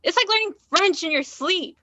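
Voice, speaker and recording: A woman's voice: very high-pitched, animated, moderate pace, sharp tone, dry recording, heavily acted. A woman acting a naive, unsettled nineteen-year-old confiding in a stranger in a naturalistic play. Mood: exasperated. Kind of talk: naturalistic one-act play dialogue.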